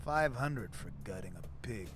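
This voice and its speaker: A man's voice: fairly low pitch, expressive, unhurried, a bit bright, soft background music. An actor playing a sneering male street gang thug in a video game, cocky and taunting. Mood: callous, goading. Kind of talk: video game character acting.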